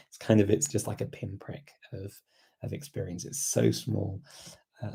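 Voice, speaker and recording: A man's voice: on the low side, creaky, dark tone, husky. A man hosting a casual live chat, thinking aloud in a reflective, hesitant way and often repeating words as he goes. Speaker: livestream host and language learner.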